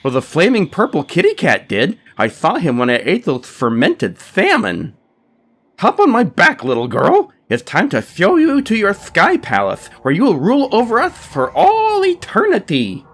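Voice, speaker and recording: A man voicing an excitable, compulsive pelican in a hard hat, earnest and dramatic, for a comic storybook tale. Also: sits high, moderate pace, voice pushed up, full character voice. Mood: excited, awed. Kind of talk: comic storybook character reading.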